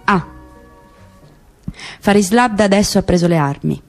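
A woman narrating a literary text for radio theatre, with a slow, grave and measured delivery. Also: mid-range voice, darkish tone. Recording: radio broadcast with music bed. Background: music.

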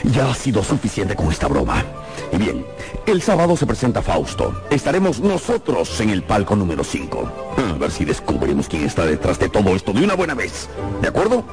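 An irascible opera director in a radio drama. He is commanding and theatrical, with big swings in pitch, over background music. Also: huge pitch swings, a little fast. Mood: impatient. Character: irascible, imperious male director of Paris opera house.

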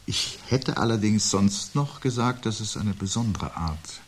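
A man acting a weary, resigned intellectual husband in a 1970s radio play, speaking in a measured, reflective way with a husky voice. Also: lowish voice, animated, slight background noise. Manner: measured, reflective, pedantic phrasing.

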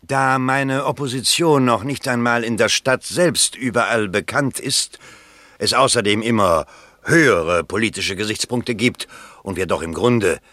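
A man's voice: medium pitch, measured pace, sharp tone, dry recording. A man playing a self-important, opportunistic small-town politician in a German radio play, pompous and formal. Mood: declamatory.

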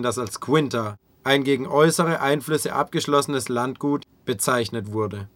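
Male narrator for a radio history feature, mid-pitched, scripted delivery, recorded dry. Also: fairly bright tone, dry recording.

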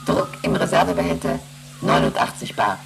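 An actress playing a female ship's computer in a German radio play, reciting emergency readings in a clipped, procedural monotone. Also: extremely low pitch, normal speed, vocal fry, very noisy, heavily acted. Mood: impassive, procedural.

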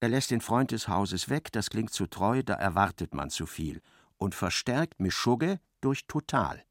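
An actor narrating a literary radio play, an expressive and ironic storyteller. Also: male voice, on the low side, a little slow, no room sound, studio quality. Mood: wry narration. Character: wry literary narrator of a novel, male.

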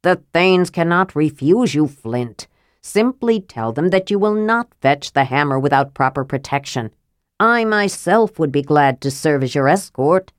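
A man's voice: fairly high pitch, animated, unhurried, no echo, studio quality. A woman narrator playing a proud, earnest male knight in a fantasy audiobook, urgent and formal.

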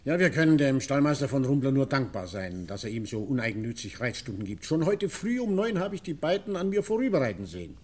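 A man's voice: mid-range voice, sing-song, fast talker, few pauses, a little mellow. An actor playing a concerned, officious male town mayor, formal and emphatic, in a 1950s German radio play. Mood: firm.

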